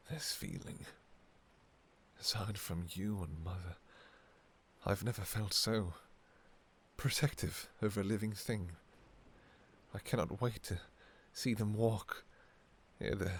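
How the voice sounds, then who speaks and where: Male actor for an ancient vampire king, romantic audio roleplay: low-pitched, unhurried, soft, halting, devoted and sentimental. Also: breathy edge, heavily acted. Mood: overwhelmed, tender. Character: tender, devoted two-thousand-year-old vampire king, doting father.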